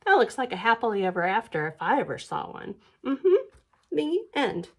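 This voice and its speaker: A woman reading a picture book aloud for a library storytime, expressive, with questioning asides to young listeners. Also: fairly high pitch, moderate pace. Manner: expressive, questioning, sing-song emphasis.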